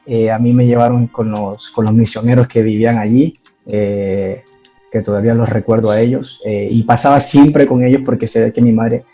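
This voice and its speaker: A man's voice: medium pitch, flat delivery, moderate pace, warm tone, highs cut off, faint music behind. A man from Ecuador, giving his church testimony in an interview and talking hesitantly, with many fillers and restarts.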